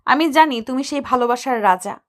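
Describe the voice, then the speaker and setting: A woman acts out a sweet young wife and new mother in a romantic audio drama. Her voice is high, and she speaks with loving, affectionate tenderness. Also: a little fast, natural voice. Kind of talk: romantic audio story acting.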